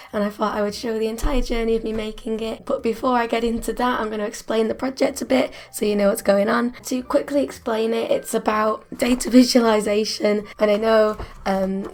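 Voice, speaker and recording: A young woman with a croaky voice, chatting casually about her art school work. Her voice sits a little high, and she laughs often and pokes fun at herself. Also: darkish tone. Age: 20s. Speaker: art student vlogger. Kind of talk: casual vlog narration.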